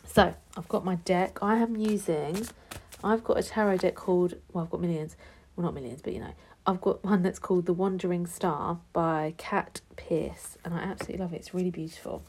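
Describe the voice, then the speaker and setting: A woman with a stuffy, nasal voice chats casually through a tarot reading, affectionate and easygoing, now and then slipping into a whisper. Her tone is low, husky and mellow, and there is no studio polish to it. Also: steady tone, fairly quick. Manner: chatty, affectionate, calls listeners love and darling; drops to a whisper at times. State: stuffy, blocked nose. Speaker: podcast host giving tarot readings. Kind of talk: casual unedited podcast chat. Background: card shuffling.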